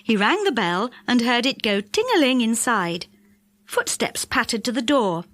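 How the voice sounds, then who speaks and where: A woman narrating a children's audiobook in an expressive storytelling style. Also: on the high side, normal speed, no room sound, studio quality.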